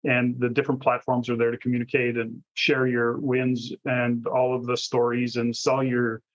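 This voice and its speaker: A man's voice: mid-range voice, flat delivery, normal speed. A man hosting a conversational interview podcast, asking long, rambling, discursive questions. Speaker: podcast host interviewing a guest. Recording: dull, lo-fi audio.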